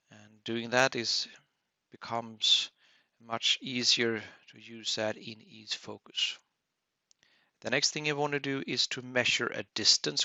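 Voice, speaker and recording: A man presenting a technical webinar tutorial as an instructor, explaining step by step in a halting way. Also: mid-range voice, creaky, bright, forceful tone, no room sound. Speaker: audio engineering instructor.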